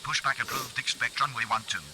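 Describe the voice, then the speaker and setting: A man plays a matter-of-fact airline pilot in a flight simulator game. His voice sits at a middling pitch as he gives formal, clipped read-backs to the tower. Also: steady tone, fairly quick, sharp tone, slight background noise, poor audio. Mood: businesslike. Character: airline pilots radioing the control tower, businesslike. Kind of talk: clipped aviation radio read-back.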